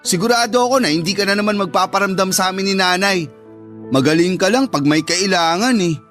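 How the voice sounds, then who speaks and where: Casting: scolding Filipino younger brother, high-pitched, emphatic, wounded sense of duty, radio drama. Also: male voice, a little fast, a bit bright, soft background music. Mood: indignant. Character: indignant, reproachful younger brother, dutiful young adult son.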